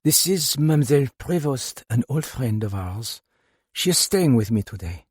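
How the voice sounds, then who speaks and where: A man voicing a weary, high-strung older Frenchwoman who blames herself, speaking quietly and haltingly before passionate outbursts. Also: very animated, husky, no room sound, clean studio sound.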